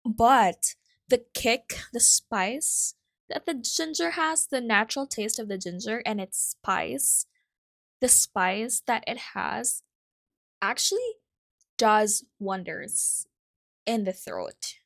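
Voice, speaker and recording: A woman's voice: a little high, animated, slow, creaky, fairly bright tone. Young Filipino-accented woman chatting on a podcast. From Philippines. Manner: chatty, self-correcting, with asides. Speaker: podcast host. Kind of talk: casual solo podcast storytelling.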